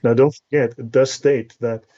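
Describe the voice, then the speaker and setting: A man with a South African accent talks in an academic, teacherly way as an interview guest. His voice is mellow and mid-pitched. The audio is a little dull and lo-fi. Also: steady tone, normal speed. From South Africa, born and raised in Cape Town. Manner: academic, didactic, checks understanding with "right?". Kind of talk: explanatory live interview talk.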